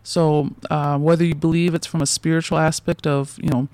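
A woman's voice: deep voice, level tone, normal speed, a little mellow, no echo. A woman in her forties, a guest in a casual interview, talking in loose, rambling sentences full of fillers and restarts and often laughing between thoughts.

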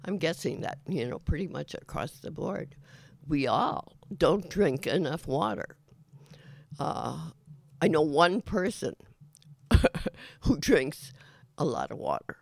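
Gravelly, halting older man. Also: mid-range voice, no echo, studio quality. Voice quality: raspy. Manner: halting, many fillers and restarts. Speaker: show host. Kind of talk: casual rambling monologue.

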